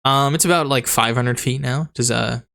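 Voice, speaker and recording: A man running a tabletop game as dungeon master, narrating in a halting, rambling way with a voice that is going hoarse. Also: mid-range voice, a bit bright. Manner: rambling, halting, laughs often. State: losing his voice.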